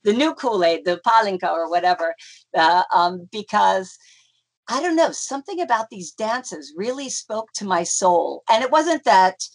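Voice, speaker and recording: A woman's voice: mid-range voice, animated, normal speed. A middle-aged woman chats as an interview guest, with an ordinary mid-range voice. She rambles, restarts her sentences and leans on fillers as she talks. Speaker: interview guest, folk dancer. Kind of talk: casual conversational interview.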